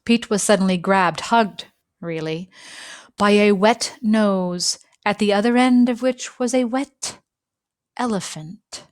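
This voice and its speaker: A woman reads a children's story aloud in an expressive narrating style, phrasing deliberately and leaving dramatic pauses. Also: medium pitch, no echo, clean studio sound. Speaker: storybook reader.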